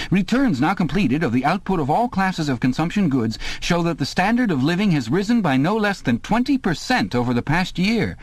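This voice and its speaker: A man's voice: a little high, no room sound, pitched above the performer's own voice. A man voicing an eager, youthful male propaganda announcer who exclaims triumphantly.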